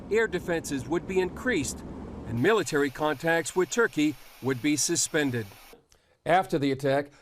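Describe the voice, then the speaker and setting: A man narrating a scripted news report as a correspondent. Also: on the high side.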